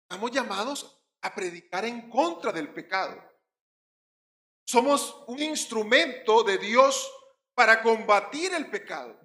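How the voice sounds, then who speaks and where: A male pastor with a Puerto Rican accent delivers an emphatic sermon in a high, ringing voice. He swings from loud stressed words to quiet drops. The sound is roomy, with the echo of a church hall. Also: lively intonation, unhurried.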